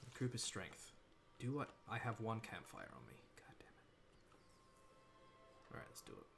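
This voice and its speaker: A man's voice: fairly low pitch, big pitch swings, measured pace, gravelly, a bit bright, in a whisper. A man with a half Australian, half American accent casually commenting on his gameplay as a streamer, chatting to himself while he plays. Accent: mixed Australian and American. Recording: game music in background.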